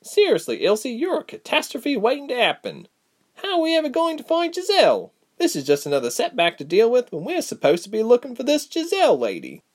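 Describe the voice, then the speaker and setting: Storybook role: a man doing a sharp-tongued woman healer, high-pitched, firm and level, with lively swings. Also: fairly quick, full character voice. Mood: exasperated. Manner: calm, level, scolding. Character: blunt, sharp-tongued woman healer, calm but livid.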